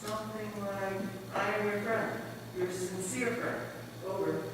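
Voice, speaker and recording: A woman's voice: mid-range voice, fairly even intonation, a little slow, distant mic, natural voice. Female performer as a polite child, very echoey. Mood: polite, coaxing. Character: polite, coaxing young missionary child on radio. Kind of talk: theatrical readers theater dialogue. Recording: echoey hall from afar, faint noise.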